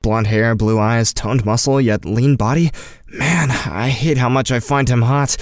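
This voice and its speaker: A man's voice: mid-range voice, a little slow, dry recording, studio quality, close to an everyday voice. A man playing an anxious, shy teenage boy who narrates his own story in an audio drama. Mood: smitten, self-deprecating. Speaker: narrator and lead actor.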